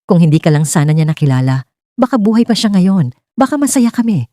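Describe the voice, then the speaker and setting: A woman performs a bitter older mother-in-law from an audio romance drama. She nags and mocks with a highly animated, rising pitch. The sound is close and dry. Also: fairly quick, no room sound. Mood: bitter, blaming. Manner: nagging, shouting, mocking. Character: sharp-tongued, bitter older mother-in-law, scolding and blaming.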